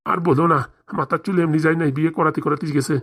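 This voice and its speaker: A man's voice: a little high, fairly even intonation, rapid-fire, fairly bright tone. A man voicing an ageing village priest, flustered and garrulous, rambling folksily in a for a comedy cartoon. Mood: complaining.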